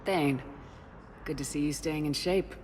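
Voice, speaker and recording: An actress playing a steady, supportive military commander in a video game, speaking in a direct, plain-spoken way. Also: female voice, sits low, a little slow, a little hiss or hum. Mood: friendly. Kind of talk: video game cutscene dialogue.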